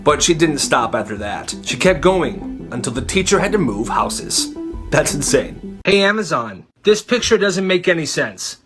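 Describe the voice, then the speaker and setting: A young American man telling stories casually and comically in slangy, animated speech for social media. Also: a little high, moderate pace, soft background music. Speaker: short-video storyteller.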